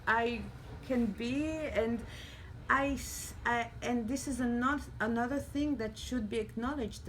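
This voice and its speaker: Slow, halting woman with a raised pitch. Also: a little hiss or hum, rough recording. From Iran and Israel. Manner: slow, halting, many stutters and restarts. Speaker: panel speaker. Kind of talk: public talk at an event. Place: small restaurant venue.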